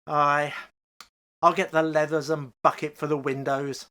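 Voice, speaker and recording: Woman acting a low-voiced, mild old husband. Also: level tone, a little slow, a bit bright. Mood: easygoing. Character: mild, homely old working-class husband and father. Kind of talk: radio play acting.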